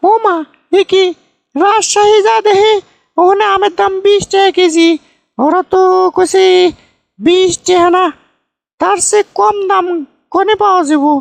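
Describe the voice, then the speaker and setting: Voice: very high voice, steady tone, a little slow, creaky, long pauses, dry recording, full character voice. A performer voicing a bossy, foolish middle-aged village aunt in a comic cartoon, folksy and repetitive, with a northern Bangladeshi Bogra accent. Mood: smug storytelling. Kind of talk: comic cartoon dialogue, rambling storytelling.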